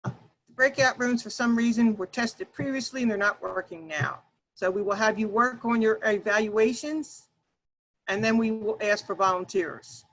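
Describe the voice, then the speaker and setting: A woman presenting an online workshop over a video call, talking loudly and emphatically and addressing her audience directly. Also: on the high side, moderate pace, slight background noise, low-quality recording.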